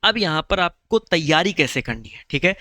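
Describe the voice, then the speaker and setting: A man's voice: on the high side, animated, moderate pace, pushed and bright, no room sound, studio quality. A man, explaining exam preparation in a chatty, reassuring video lesson and calling viewers brother. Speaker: exam-prep teacher.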